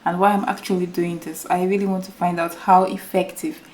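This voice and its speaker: Soft-voiced woman in an echoey room. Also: lowish voice, level tone, a little fast, slight background noise. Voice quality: soft small voice. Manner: earnest and repetitive, addresses viewers as guys. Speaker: YouTuber. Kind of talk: motivational talk to camera. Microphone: new microphone without full setup.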